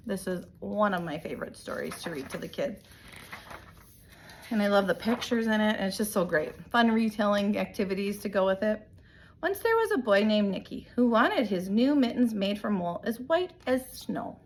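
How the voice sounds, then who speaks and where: A woman reading a picture book aloud in an animated, emphatic way, like a teacher telling a story to young children. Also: mid-range voice, normal speed, a little hiss or hum. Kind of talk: expressive storybook read-aloud.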